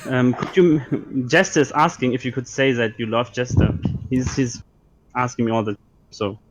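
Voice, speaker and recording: A man's voice: mid-range voice, steady tone, normal speed, no room sound, rough recording. A man chatting casually in an online group call, starting his sentences with hesitant stammers. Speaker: participant in an online group chat. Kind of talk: casual chat.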